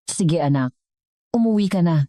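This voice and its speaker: A woman with a Filipino accent voicing a humble, kindly old woman cook, gentle and polite, in a dramatic story. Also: fairly low pitch, expressive, normal speed, no room sound, studio quality, pitched above the performer's own voice, close to an everyday voice. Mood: tender.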